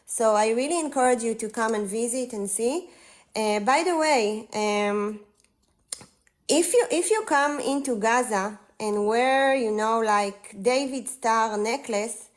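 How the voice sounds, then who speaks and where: Israeli woman in her forties, slightly high voice, earnest guest on a phone call, pauses a lot. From northern Israel. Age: 40s. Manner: earnest, halting, many fillers. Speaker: guest on a livestream. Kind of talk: casual conversation.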